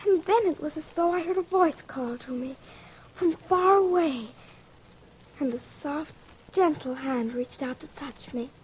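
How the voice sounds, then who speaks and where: A woman's voice: high voice, unhurried, slight background noise. An actress playing a tender, awed young girl in an old-fashioned radio drama. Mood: wondering. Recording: dull lo-fi radio drama recording.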